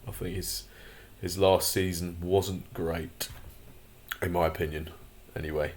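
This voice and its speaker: A man hosting a casual commentary vlog, his voice croaky, rambling with lots of fillers and opinionated asides. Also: low voice, little pitch movement, measured pace, a little hiss or hum. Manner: rambling, many fillers and restarts. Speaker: channel host.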